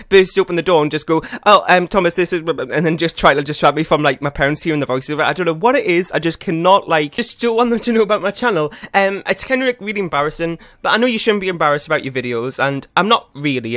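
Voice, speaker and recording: Young male YouTuber, croaky morning voice, fast and chatty, dry close sound. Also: on the high side, a bit bright, dry recording. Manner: fast, rambling talk with many fillers. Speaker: game building YouTuber. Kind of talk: casual gameplay voiceover. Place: his bedroom at home.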